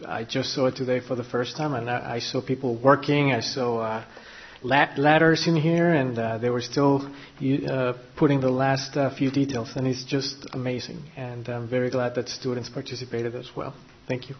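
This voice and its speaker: A man on a school board giving brief, appreciative remarks in a soft-spoken way. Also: mid-range voice, steady tone, moderate pace, breathy edge, dull audio. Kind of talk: public meeting remarks.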